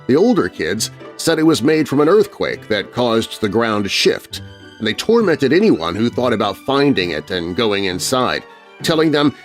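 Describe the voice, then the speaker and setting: Male narrator, kids' horror podcast: dramatic scripted read, lively pitch movement, music bed underneath.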